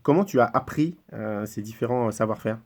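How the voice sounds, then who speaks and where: A man interviewing a guest, putting prepared questions in measured, somewhat hesitant phrasing. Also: fairly low pitch, lively intonation, darkish tone.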